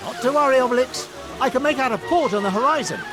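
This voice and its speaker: A man playing a small, clever, confident Gaul hero in a cartoon game, brisk and assured. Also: very high-pitched, music in the background. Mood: reassuring. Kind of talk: video game cartoon acting.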